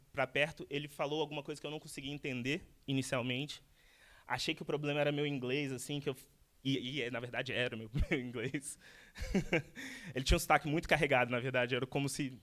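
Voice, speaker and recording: A man's voice: mid-range voice, a bit bright. A Brazilian man in his thirties gives a talk, keeping it loose and conversational. He stops and restarts often, repeating words as he goes. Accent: Brazilian Portuguese. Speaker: guest speaker, architect. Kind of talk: lecture told as casual conversation.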